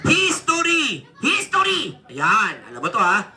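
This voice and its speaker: A puppeteer hosting a live comedy quiz for children, exclaiming and mock-scolding in an animated way. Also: mid-range voice, measured pace. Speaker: puppeteer and children's party host.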